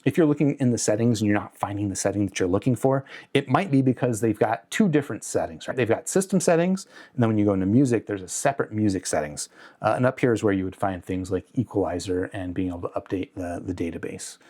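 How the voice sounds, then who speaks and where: Man, lowish voice, conversational product reviewer, candid, mildly critical, unscripted walkthrough. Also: fast, a little mellow. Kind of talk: casual unscripted review with on-screen demonstration.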